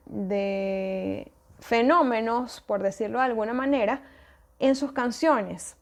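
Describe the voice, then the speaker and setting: Venezuelan woman doing a solo podcast, fairly high voice, lots of ums and restarts. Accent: Venezuelan Spanish. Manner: thoughtful, with many fillers and restarts. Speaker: podcast host. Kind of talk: casual solo podcast talk.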